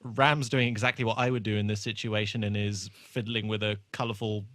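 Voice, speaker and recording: A man's voice: fairly low pitch, level tone, a little fast, fairly bright tone, no room sound, studio quality. A man co-hosting a podcast, talking casually through a TV episode and often thinking aloud in a tentative way. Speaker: podcast co-host.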